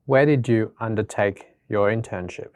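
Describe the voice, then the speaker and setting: A man announcing a title and reading scripted interview questions in a plain, even way. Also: lowish voice, normal speed, dry recording.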